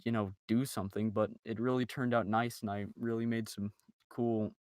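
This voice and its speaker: A man's voice: on the low side, level tone, moderate pace, a little mellow, dry recording, studio quality. A man talking casually on a group podcast in an informal, chatty, emphatic way. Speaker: podcast member, amateur voice actor.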